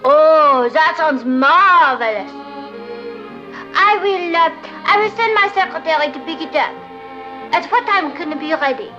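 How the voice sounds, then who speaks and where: A young woman playing a melodramatic, scheming, excitable teenage girl, theatrical and emphatic, in a vintage TV sitcom. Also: high voice, moderate pace, pauses often, lo-fi sound, soft background music. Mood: affectedly grand.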